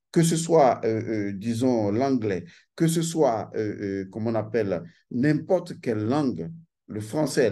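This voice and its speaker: A man's voice: mid-range voice, dark tone. A man giving an insistent, lecturing monologue, stressing and repeating his key points. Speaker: channel host giving a talk.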